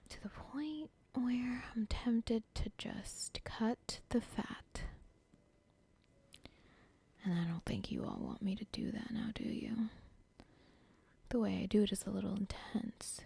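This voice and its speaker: A woman's voice: a little high, expressive, takes it slowly, gravelly, dark tone, breathy edge, heavily acted. A woman whispering an ASMR roleplay as a cold, controlling female cartel boss who talks in a menacing, dismissive way. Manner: whispering throughout.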